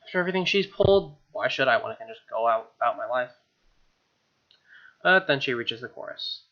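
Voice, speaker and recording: A man's voice: medium pitch, animated, brisk, sharp tone, muffled recording. A man with an American accent reading a visual novel aloud as a gaming host, with casual asides. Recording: game music behind.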